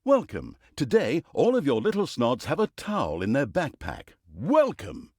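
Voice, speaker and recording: A man's voice: medium pitch, huge pitch swings, normal speed, darkish tone, husky, no room sound, studio quality. A man playing a grandiose, tongue-in-cheek narrator in a fantasy video game, with mock-solemn, theatrical delivery.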